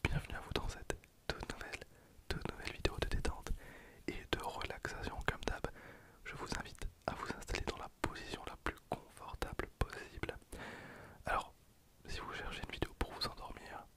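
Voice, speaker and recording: A young man gives casual, hesitant gameplay commentary in a breathy, unvoiced ASMR whisper. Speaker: ASMR gaming video host.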